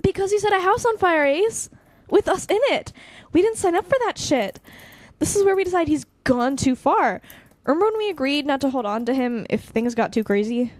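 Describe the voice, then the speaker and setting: A woman's voice: sits high, normal speed, a little mellow, no room sound, natural voice. A woman playing a blunt, hot-tempered but caring young vigilante in a naturalistic audio drama, speaking emphatically. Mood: angry, scornful.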